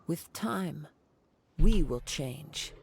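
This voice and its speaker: An actor playing a calm young wandering swordsman in a fantasy game, courteous and reflective, with formal, measured phrasing. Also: a little high, creaky, slight background noise, full character voice. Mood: pensive, grave. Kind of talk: scripted fantasy game dialogue. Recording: background music.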